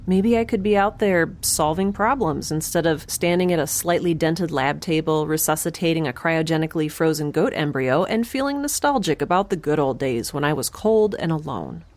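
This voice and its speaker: A woman's voice: sits low, expressive, moderate pace, few pauses, a little mellow. A woman playing a wry, stubborn young scientist in a space audio drama, with a dry, sardonic and precise way of talking. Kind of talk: audio drama acting, first-person log narration.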